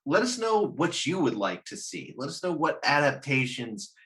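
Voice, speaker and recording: A man's voice: on the high side, big pitch swings, normal speed, a bit bright. A man hosting a casual discussion show in a wry, joking manner, often chuckling as he talks. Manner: wry, joking, chuckles through his talk. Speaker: host. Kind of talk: casual chat.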